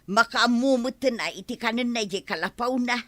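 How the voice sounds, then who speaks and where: An actress with an Ilocano accent playing a put-upon, quarrelsome village wife in an audio drama, given to exclaiming and complaining. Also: female voice, on the high side, brisk, a bit bright, dry recording, close to an everyday voice. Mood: complaining, chatty.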